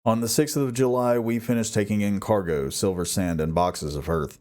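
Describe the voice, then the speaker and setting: Mellow, low-voiced man reading a novel. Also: normal speed. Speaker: audiobook reader. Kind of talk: scripted narration of a classic novel.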